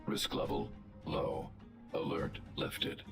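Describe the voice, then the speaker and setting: A man voicing a huge male guardian robot in a game, giving flat clipped status reports through a robotic filter. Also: slow pace, heavily acted. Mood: clinical. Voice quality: deep gravelly machine voice. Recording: echoey processed game audio. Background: game music.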